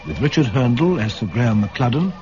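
Animated male radio announcer over music. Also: medium pitch, a little fast. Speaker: continuity announcer. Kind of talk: scripted broadcast credits. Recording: dull 1970s radio broadcast.

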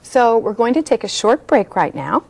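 A woman's voice: on the high side, sing-song, normal speed, creaky, darkish tone. A middle-aged American woman hosting a studio book interview in an enthusiastic, effusive way. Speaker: talk show host. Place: small TV studio set.

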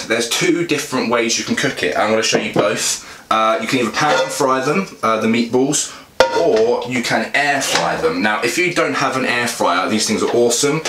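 A man's voice: medium pitch, fairly bright tone. A young man with a British accent casually explains cooking to camera in an informal, plain-spoken way as a fitness vlogger. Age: young adult. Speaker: fitness vlogger and meal prep host. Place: small home kitchen, indoors. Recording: echoey kitchen, clear background noise.

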